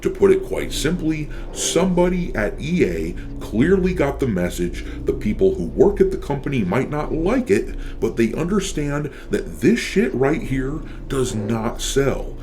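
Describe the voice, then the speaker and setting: A man's voice: medium pitch, big pitch swings, measured pace, hardly any pauses, a little mellow, faint music behind. A man delivering scripted opinion commentary as a channel host, with a blunt, sardonic, profane manner.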